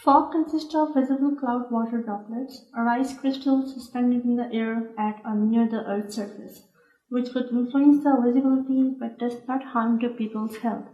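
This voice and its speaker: Woman from Pakistan, soft high voice. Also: fairly even intonation, moderate pace, muffled recording. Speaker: PhD student. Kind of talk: scripted explanation. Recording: echoey room.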